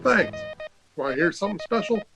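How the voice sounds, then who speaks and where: A man's voice: sits high, animated, a little fast, vocal fry, music in the background. A man playing a laid-back, casual ship's wireless man in an old-time radio drama, breezy and informal.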